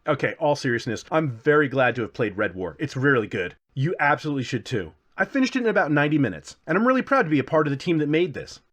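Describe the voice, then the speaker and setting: Guy doing a jokey game review, talks fast, pitch kinda high and all over the place. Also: very animated, a bit bright. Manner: playful, self-deprecating, jokey asides. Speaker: video game reviewer and channel host. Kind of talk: casual scripted review commentary.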